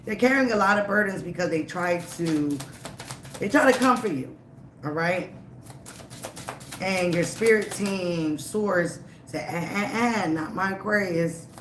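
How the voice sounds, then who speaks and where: A tarot reader giving a live reading, emphatic and repetitive, slightly raspy from a cold. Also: mid-range voice, unhurried, echoey room, noisy background. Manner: emphatic, repeats phrases, frequent "okay?" and "all right?".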